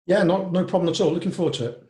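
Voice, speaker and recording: A man's voice: high-pitched, fairly even intonation, very fast, warm tone. An older man from Cardiff reminiscing in a casual interview, chatty and full of anecdote, recorded with a roomy echo. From Cardiff, Wales; born in Devon. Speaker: interview guest, walking football manager. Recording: roomy, echoing sound.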